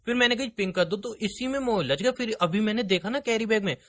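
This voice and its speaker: Bright-voiced, whiny boyfriend, acted by a man. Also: high voice, expressive, fast talker. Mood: flustered, evasive. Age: young adult. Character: pleading, evasive young boyfriend, exasperated and fibbing.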